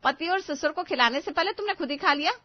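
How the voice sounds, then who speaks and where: A woman's voice: high voice, lively intonation, quick pace, no room sound, highs cut off, voice pushed up. A woman voicing a domineering middle-aged Indian mother-in-law, exasperated and dramatic in a family story. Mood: loud, scolding.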